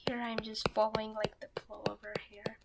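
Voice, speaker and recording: A young woman streaming herself while drawing, talking softly and half-whispering, a little nervous, with pen scratching heard behind her. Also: a little high, little pitch movement, fairly quick, vocal fry. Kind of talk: casual live-stream chat while drawing. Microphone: desk microphone that picks up pen scratching. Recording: noisy home stream recording.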